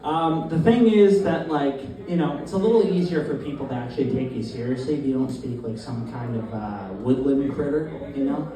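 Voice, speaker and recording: A young man from Newfoundland doing a first stand-up set in a deadpan, halting way, with many fillers. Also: medium pitch. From Newfoundland, Canada. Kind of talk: open-mic stand-up comedy. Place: small comedy club, in front of a live crowd. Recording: very echoey club, distant mic, crowd. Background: audience laughter.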